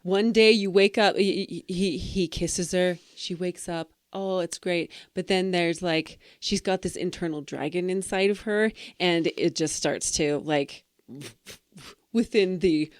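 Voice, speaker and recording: A woman's voice: lowish voice, slow, dry recording, clean studio sound. A woman co-hosting a podcast, chatting casually and playfully in a rambling, trailing-off way. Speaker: podcast co-host. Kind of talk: casual banter retelling fairy tales.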